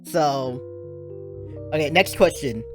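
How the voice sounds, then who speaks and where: A chatty stream host who keeps breaking into giggles, with a voice that sits at an ordinary middle pitch. Plucked guitar music plays clearly behind the talk. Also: slow, a bit bright. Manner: giggly, chatty, teasing questions. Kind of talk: casual streamed chat.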